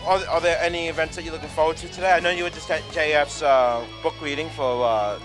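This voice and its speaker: A man's voice: fairly high pitch, fairly even intonation, fast talker, music in the background. A young man co-hosting convention coverage in a playful, joking chat. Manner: playful, riffs on jokes and running gags. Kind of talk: casual joking banter.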